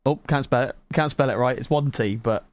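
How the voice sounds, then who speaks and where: A woman giving casual, reactive gameplay commentary in an informal, excitable way. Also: extremely low pitch, a little fast. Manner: animated, reactive, giggly, informal with mild swearing. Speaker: gaming video host and player.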